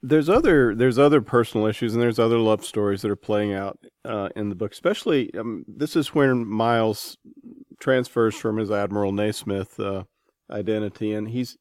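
A man's voice: fairly low pitch, moderate pace, a little mellow, no room sound, studio quality. A man working as an interviewer, chatting casually with a guest.

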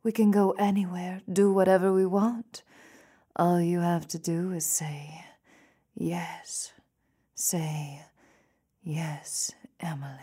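Slow-talking seductive femme fatale. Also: female voice, lowish voice, steady tone, mellow, slightly rough. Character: seductive, cold-blooded femme fatale killer in gangster tale. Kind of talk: noir audio drama acting.

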